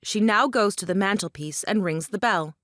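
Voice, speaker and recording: A woman's voice: medium pitch, normal speed, a bit bright, no echo, clean studio sound. A woman reading stage directions as scripted narration for a play.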